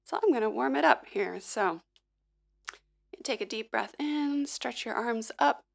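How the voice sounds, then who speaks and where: A woman hosting a livestream, gently talking viewers through a vocal warm-up at her piano with a slightly froggy morning voice. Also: on the high side, unhurried, gravelly. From Filipina-American. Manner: gentle, encouraging, instructive. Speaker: singer-songwriter and livestream host. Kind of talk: casual vocal warm-up coaching.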